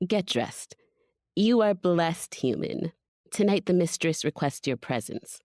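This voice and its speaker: A woman acting as a condescending female vampire in an audio drama. Her voice is very low for a woman and recorded dry and close, giving curt orders with haughty, teasing disdain. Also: expressive, slow, a little mellow, no echo.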